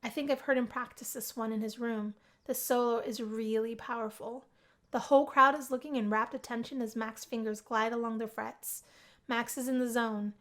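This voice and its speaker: A woman reading first-person visual novel narration aloud as an expressive storyteller. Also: a little high, normal speed. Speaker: gaming video host, narrator.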